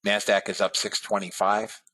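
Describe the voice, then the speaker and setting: A man hosting a live trading webinar, talking casually to his viewers and calling them guys and fellas, a bit hoarse. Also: fairly low pitch, little pitch movement, normal speed, pushed and bright. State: slightly hoarse voice. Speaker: trading room host.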